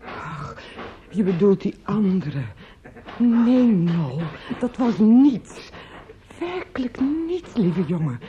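An actress in a Dutch radio play playing an anguished, talkative female relative who breaks terrible news, theatrical, halting and pleading. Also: medium pitch, sing-song, mellow. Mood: lamenting. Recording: muffled, noisy old radio play recording.

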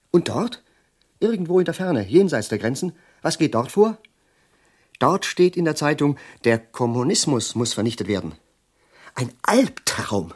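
An actor in a German radio play, playing an eccentric, brooding chemist who speaks abruptly and rants with irony. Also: male voice, mid-range voice, slightly rough. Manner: abrupt, exclamatory, ironic, swinging into rants. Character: eccentric male chemist, agitated, brooding, prophesying war.

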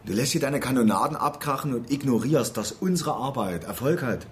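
A man's voice: mid-range voice, lively intonation, a little fast, a little hiss or hum, close to an everyday voice. An actor playing an argumentative, defensive activist man who reproaches his colleague in a German radio drama.

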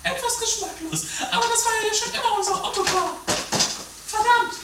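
A performer voicing a drunken, theatrical, murderous aristocratic widow in a high, whining, haughty falsetto for a trashy improvised horror comedy radio play. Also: moderate pace, roomy sound, very noisy. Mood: indignant, menacing. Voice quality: very high-pitched put-on falsetto.

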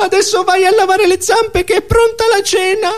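A man voicing a plaintive, bossy female owl wife in falsetto for an absurdist comic sketch. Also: fairly even intonation, normal speed. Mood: indignant, plaintive. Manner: squeaky falsetto, indignant.

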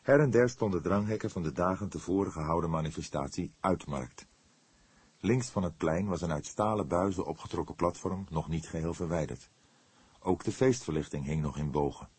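A male audiobook narrator with a deep, husky voice. He tells a detective story in a measured, suspenseful way. The recording is close and dry. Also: darkish tone, no echo. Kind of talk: dramatic scripted narration of a detective novel.

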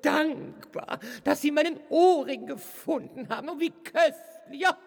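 An actor playing an amused aristocratic countess in a painting, with affected, drawn-out speech, in a children's radio play. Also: high-pitched, gravelly. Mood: delighted. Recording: long hall reverb, music behind.

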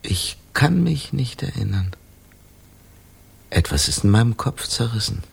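Husky, anguished amnesiac man. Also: on the low side, very animated, exaggerated voice. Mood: brooding. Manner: formal, halting, agitated. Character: anguished amnesiac Parisian man, fearing he committed a crime. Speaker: actor in a radio crime drama. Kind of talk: German radio play acting.

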